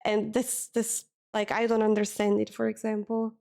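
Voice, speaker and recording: A woman streamer, chatting casually with her viewers, often pausing and correcting herself as she hunts for words. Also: medium pitch, monotone, creaky, dark tone. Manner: hesitant, searching for words, self-correcting. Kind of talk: casual chat with chat readers.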